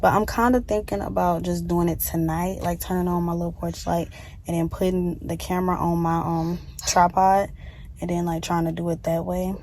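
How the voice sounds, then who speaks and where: A young woman with a slightly hoarse throat, chatting casually to her viewers on a phone while going about her day. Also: fairly low pitch, little pitch movement, moderate pace, darkish tone. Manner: chatty, addresses viewers as y'all, talks to her baby between remarks. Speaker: lifestyle vlogger. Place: in a car and at home. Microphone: phone camera. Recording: noisy phone recording with road noise.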